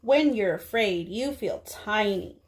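A young woman, a preschool teacher, speaking to small children in a deliberate read-aloud style with drawn-out words. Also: mid-range voice, lively intonation. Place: small indoor room.

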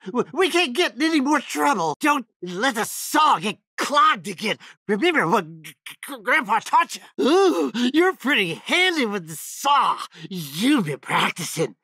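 High, raspy, twitchy Texan backwoods killer. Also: unhurried, no room sound, full character voice. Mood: gleeful, eager. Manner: stammering, excitable, folksy. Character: giddy, twitchy, sadistic backwoods young male killer. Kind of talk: video game character voice lines.

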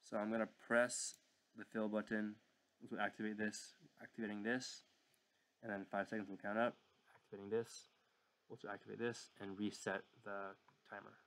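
A young man giving a casual, unscripted software walkthrough at his desk at home, hesitant and apologetic in manner. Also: fairly low pitch, little pitch movement, moderate pace, rough recording. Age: young adult. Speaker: learner presenting a tutorial. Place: indoor room at home.

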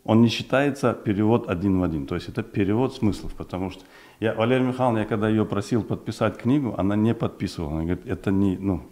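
A man's voice: lowish voice, fast talker, darkish tone. A man in his forties whose first language is Uzbek, a guest talking in a studio interview with a desk microphone, speaking thoughtfully with many fillers and restarts. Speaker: project developer guest. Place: small television studio. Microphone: desk mic on stand.

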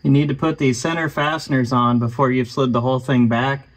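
A man talks through a repair step by step. His voice sits at an ordinary middle pitch, and his delivery is calm and matter-of-fact. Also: a little fast, low-quality recording. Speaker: host of a car restoration channel. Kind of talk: voice-over narration of a repair.

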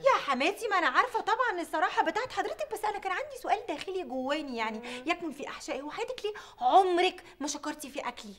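Woman doing an indignant Egyptian wife, shrill, rapid, clear background noise. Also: lively intonation. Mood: wheedling. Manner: indignant, defensive, babbling. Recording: noisy recording.

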